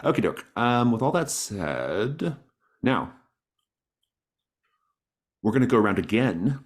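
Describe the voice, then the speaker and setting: A man's voice: mid-range voice, sing-song, slow. A man speaking as game master of a tabletop roleplaying game on an online call, in an encouraging, accommodating manner. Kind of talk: casual explanation of a tabletop game. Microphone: online group call.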